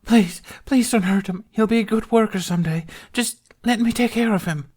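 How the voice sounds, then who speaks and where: A man's voice: sits high, moderate pace, a little mellow, pitched above the performer's own voice. A man voicing a frightened young slave mother who begs in a story reading. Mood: desperate pleading.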